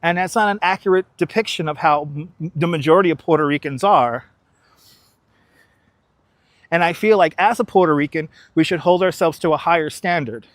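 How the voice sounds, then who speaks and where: A man's voice: a little high, moderate pace, a bit bright, no room sound, studio quality. A young man, talking casually and with strong opinions to his livestream viewers while walking outdoors. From New York City. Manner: chatty, opinionated, talks straight to viewers. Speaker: livestream host. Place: outdoors on city streets. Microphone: wireless clip-on mic.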